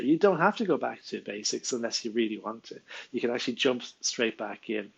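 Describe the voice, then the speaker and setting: A middle-pitched Irish man with a gravelly, creaky voice explains writing tips in a relaxed, conversational way. He laughs lightly and fills pauses with ums and uhs. The recording sounds slightly dull and lo-fi. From Ireland. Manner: chatty, laughs often, frequent ums and uhs. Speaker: Irish language teacher. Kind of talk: casual spoken tutorial.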